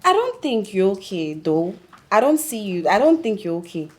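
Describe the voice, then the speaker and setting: A woman's voice: mid-range voice, big pitch swings, moderate pace, close to an everyday voice. A woman playing a teasing, dramatic young village friend in a film drama, with a Nigerian accent and a theatrical way of talking. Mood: theatrical alarm. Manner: theatrical, teasing, interjects with local words. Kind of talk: naturalistic film drama dialogue.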